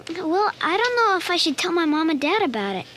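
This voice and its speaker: An earnest schoolboy speaks in a high, hesitant, childlike voice, confiding his worries. There is clear noise behind the voice. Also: sing-song, a little fast, sharp tone, heavily acted. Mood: worried. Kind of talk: family radio drama acting.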